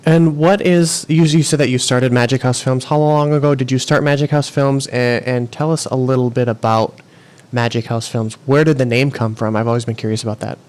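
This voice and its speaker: A man's voice: medium pitch, steady tone, a little fast, darkish tone. A man hosting an interview podcast, introducing his guest with loose, filler-heavy phrasing. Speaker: podcast host.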